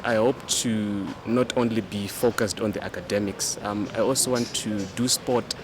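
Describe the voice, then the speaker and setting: Young man of eighteen, Zimbabwean accent, fairly low voice, informal student interview, noisy outdoor setting. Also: steady tone, normal speed. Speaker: new sixth-form student being interviewed. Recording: clear outdoor background noise.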